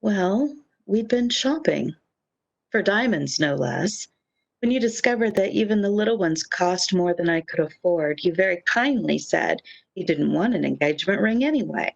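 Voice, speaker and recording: Woman acting a low, gravelly, tender returning lover. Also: expressive, moderate pace. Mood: fond, coaxing. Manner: soothing, affectionate. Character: devoted, tender, reassuring woman, long-lost lover returned. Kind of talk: old-time radio romance acting. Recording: dull lo-fi microphone.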